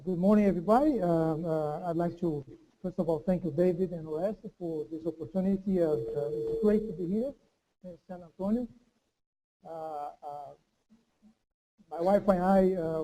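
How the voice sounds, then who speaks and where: A man giving a conference speech as a chamber of commerce director, halting, with many fillers and restarts. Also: sits high, dark tone, slight background noise, rough recording.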